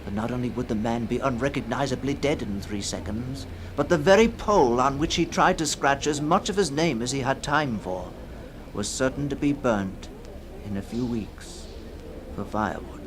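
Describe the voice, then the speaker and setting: An actor playing a brooding, scornful man who tells an uncanny tale in a theatrical, deliberate 1960s radio reading. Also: mid-range voice, natural voice. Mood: scornful musing. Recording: noisy 1960s radio recording.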